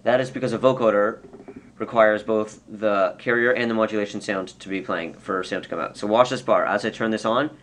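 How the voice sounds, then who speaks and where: Low-voiced man in a noisy tutorial recording. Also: steady tone, fairly quick, fairly bright tone. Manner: casual, self-correcting, step-by-step explaining. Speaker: tutorial presenter. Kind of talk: unscripted screen-recorded software tutorial. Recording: clear background noise.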